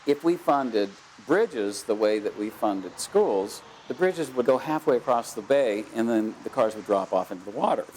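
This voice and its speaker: A man in a documentary interview, explaining in a plain-spoken way with pauses between phrases. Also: medium pitch, animated, darkish tone, slight background noise, poor audio. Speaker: interviewed speaker.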